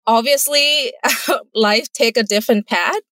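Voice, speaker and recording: A woman's voice: fairly high pitch, unhurried, bright, forceful tone, dry recording. Earnest Thai-accented woman entrepreneur. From Thailand. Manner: earnest, careful, laughs easily. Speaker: entrepreneur, guest. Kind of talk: casual interview answers.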